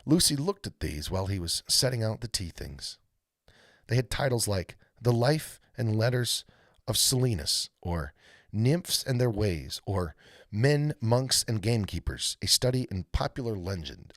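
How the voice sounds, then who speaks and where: A man reading a storybook aloud as an expressive narrator. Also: fairly low pitch, measured pace, dry recording, clean studio sound. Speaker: read-aloud host, storyteller.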